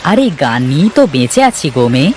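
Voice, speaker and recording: Cartoon river boatman, male actor: good-natured and obliging, very expressive pitch, over a slightly noisy outdoor bed. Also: quick pace, lightly acted. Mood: kindly, reassuring. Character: humble, good-natured village river boatman, cheerful and devoted. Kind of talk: animated story character acting.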